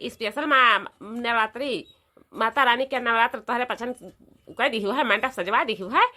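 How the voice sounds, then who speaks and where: A woman's voice: on the high side, measured pace, bright, forceful tone. A woman voicing a talkative, devout older village mother-in-law in a comedy cartoon, emphatic and folksy, repeating phrases in an eastern Uttar Pradesh accent. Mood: pleased, blessing.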